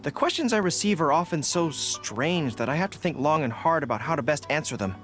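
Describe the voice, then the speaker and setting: A man's voice: a little high, animated, fairly quick, a bit bright, faint music behind. A young man playing an earnest, awkward, easily flustered dog-eared general in an anime-style video game. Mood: earnest, hesitant. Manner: earnest, hesitant, boyish.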